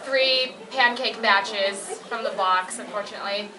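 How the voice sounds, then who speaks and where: A woman's voice: mid-range voice, measured pace, a crowd in the background, natural voice. A woman as prickly polite teen anime boy, echoey. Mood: earnest. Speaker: cosplay panelist. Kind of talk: improvised in-character panel banter. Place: convention panel room with audience. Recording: echoey noisy convention room, far from mic.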